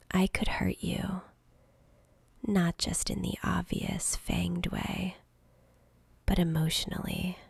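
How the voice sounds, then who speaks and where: A woman's voice: on the low side, vocal fry, a little mellow, slightly rough, no echo, exaggerated voice. A woman voicing a lonely, centuries-old vampire girl in an intimate ASMR roleplay, whispering breathily and close to the mic with tender, hesitant longing. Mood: vulnerable, confessing. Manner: slow, intimate, hesitant pleading. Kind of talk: whispered soft-spoken ASMR romantic roleplay.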